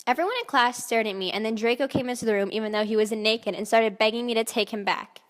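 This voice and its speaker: A woman's voice: fairly high pitch, fairly even intonation, fast talker. A woman giving a theatrical, comedic dramatic reading of fan fiction as the narrator. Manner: theatrical, often breaking into laughter. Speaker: narrator, reader. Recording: long hall reverb.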